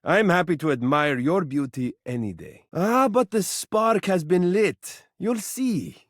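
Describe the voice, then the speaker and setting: Swooping, gushing, theatrical charmer. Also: male voice, high voice, animated, a little slow, no room sound, studio quality. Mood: warm, admiring. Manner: effusive, dramatic, gushing, doting. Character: flattering, adoring, theatrical living mirror, suave male charmer. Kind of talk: video game character voice acting, romantic.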